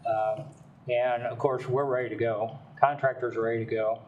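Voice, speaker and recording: An older man representing a developer, giving folksy, rambling testimony at a city council meeting and speaking loudly on purpose. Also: mid-range voice, flat delivery, moderate pace, recorded from a distance, phone-quality sound.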